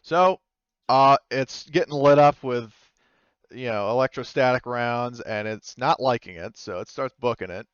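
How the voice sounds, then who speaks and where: A man's voice: mid-range voice, measured pace, clean tone, dry recording, highs cut off. A man acting as game master, narrating casually and tentatively as he thinks aloud. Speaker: game master of a tabletop roleplaying game.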